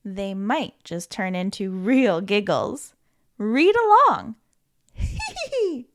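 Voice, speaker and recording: Woman reading a picture book aloud to young children; slow, gentle, playful, with a bouncy melody. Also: mid-range voice, very animated. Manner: gentle, playful, reassuring, with giggles. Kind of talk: read-aloud storytime.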